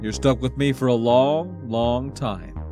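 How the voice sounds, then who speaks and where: A man's voice: mid-range voice, measured pace, over music, lightly acted. A man voicing a stubborn, cocky bodybuilder intruder in an audio short story. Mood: cocky, insistent.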